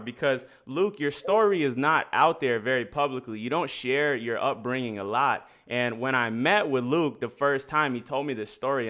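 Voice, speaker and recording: Smooth-voiced, slangy male stream host. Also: medium pitch, fairly bright tone. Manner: slangy, encouraging. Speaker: host of a live chat room. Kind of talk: casual chat, hosting a live stream.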